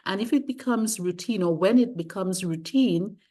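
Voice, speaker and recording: A middle-aged woman from Guyana, a sustainability consultant, talking through her career in a measured, careful way and rephrasing as she goes. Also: medium pitch, mellow. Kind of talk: interview, long career account.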